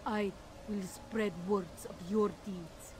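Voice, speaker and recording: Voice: mid-range voice, fairly even intonation, takes it slowly, noisy background, close to an everyday voice. A performer voicing a proud, bitter alien warrior who speaks in stern, formal, broken phrases.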